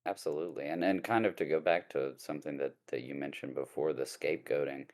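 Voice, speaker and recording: A man speaking as a guest lawyer in a casual podcast discussion, halting, with many fillers and restarts. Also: rumbling low, steady tone.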